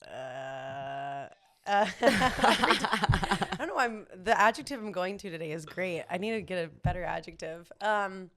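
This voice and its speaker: A woman's voice: on the low side, animated, a little slow, a bit bright. A woman, chatting casually in a candid, slightly hesitant way. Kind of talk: casual chat.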